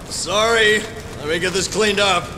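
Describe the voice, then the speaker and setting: Meek, hesitant warehouse worker. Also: male voice, high voice, a little slow, noisy background. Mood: flustered apology. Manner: hesitant, apologetic. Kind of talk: video game voice acting. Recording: loud music behind the voice.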